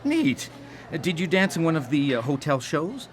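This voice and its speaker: A man's voice: a little high, sing-song, moderate pace, soft background music. An actor in a radio drama playing a shy, earnest man of faith, hesitant and awkward on a first date.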